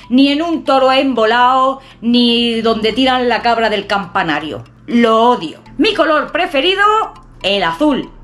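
A woman in her fifties from Catalonia, with a southern-sounding Spanish accent from an Extremaduran father, chatting to camera in a jokey, expressive way from a small room at home. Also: on the high side. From Catalonia, Spain. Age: 50s. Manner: chatty, expressive, jokey, lively hand gestures. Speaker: urban exploration vlogger. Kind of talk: personal talk to camera.

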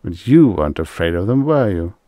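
A man's voice: low-pitched, sing-song, a little fast, warm tone, no echo, clean studio sound. A man voicing an imperious big-game hunter in a science fiction story, commanding, amused and genially menacing. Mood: falsely genial.

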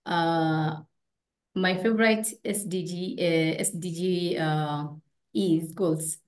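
Female doctor, low-mid pitch, careful with pauses. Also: steady tone. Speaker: medical doctor, panel guest. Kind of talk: introduction on a video call.

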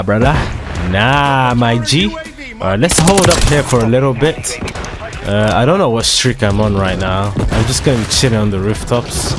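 Hoarse gamer dude hyping his own kills, mid-pitched voice, slangy banter, loud music behind. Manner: excitable, slangy trash-talking banter. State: slightly hoarse, dry throat. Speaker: gaming video creator. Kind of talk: live gameplay commentary. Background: loud background music and gunfire.

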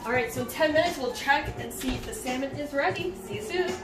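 Young female home-cooking host, high voice, informal instruction, recorded at a distance from the mic with music behind. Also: quick pace. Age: young adult. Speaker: home cook hosting a cooking video. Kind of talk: casual cooking demonstration. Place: home kitchen, indoors. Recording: roomy echo, distant mic, background music.

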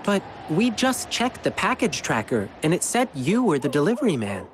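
A man playing an earnest, friendly young lion dancer in a video game, speaking in an expressive, reassuring way. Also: on the high side, moderate pace, slight background noise. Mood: determined, friendly. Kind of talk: video game character dialogue. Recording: game music behind the voice. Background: game music.

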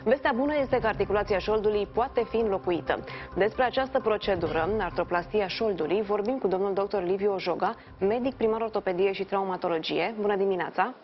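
A young woman presenting a morning news show from a TV studio, reading a clear scripted intro and asking interview questions. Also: medium pitch, lo-fi sound, soft background music. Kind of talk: live TV interview.

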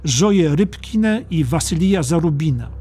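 A man narrating a historical audiobook from a script in a deliberate, dramatic storytelling way. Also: a little high, expressive, mellow, a little hiss or hum.

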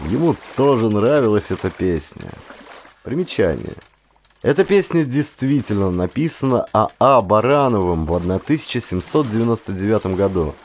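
A man narrating a historical adventure audiobook as a scripted, expressive dramatic storytelling. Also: on the low side, normal speed, slight background noise. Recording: clear ambient sound effects behind voice. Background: ambience sound effects.

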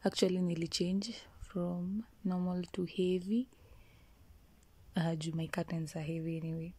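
A woman's voice: on the low side, flat delivery, moderate pace, mellow, breathy edge. A woman with a Kenyan accent chatting casually and playfully as she narrates a chore, switching languages mid-sentence. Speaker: vlogger. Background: clicking and water sounds.

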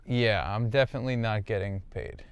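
A man's voice: fairly low pitch, fairly even intonation, brisk, smooth, dry recording. A man, a comedian, explaining himself conversationally in a hesitant, defensive way inside a small van. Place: inside a small wood-lined camper van.

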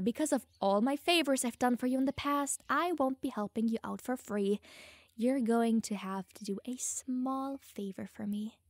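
Woman acting a playful, high-voiced young elf mage. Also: no echo. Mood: smug, teasing. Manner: playful, teasing, flustered stammering. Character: teasing, smug, lovestruck young female elf mage. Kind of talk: audio roleplay addressed to the listener.

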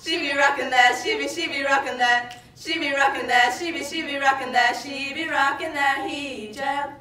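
A woman's voice: high-pitched, fairly even intonation, normal speed, bright, forceful tone. A young woman performing spoken word poetry on an indoor stage, with an emphatic, declamatory delivery. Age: young adult. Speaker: slam poet. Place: indoor stage in front of a projection screen. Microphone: far camera. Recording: roomy, distant microphone, background noise.

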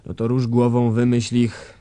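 A man's voice: medium pitch, completely flat delivery, measured pace, warm tone, smooth, dry recording, natural voice. A man acting a pompous, authoritarian head of state in a satirical radio drama, self-important and foolish. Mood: indignant, demanding.

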